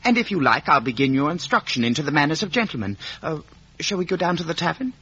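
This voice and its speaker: An actor playing a genial, well-bred young Victorian gentleman in a 1950s radio drama, polite and a little hesitant, on a muffled old recording. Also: fairly high pitch, sing-song, fast, husky, soft background music, full character voice. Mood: cheerful. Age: young adult. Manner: polite, hesitant, good-humoured.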